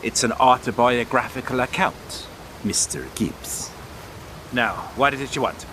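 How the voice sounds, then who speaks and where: An actor playing a wry, proud Irish writer and wartime stretcher bearer in an audio drama, sardonic and pointed, with an Irish accent. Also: male voice, mid-range voice, a little slow, background noise, lightly acted.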